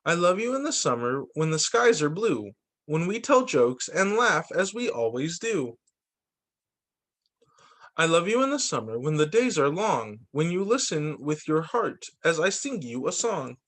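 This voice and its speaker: A man who teaches young children, reading picture books aloud in an expressive, sing-song storytime delivery. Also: fairly high pitch, a little slow. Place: remote video call story session.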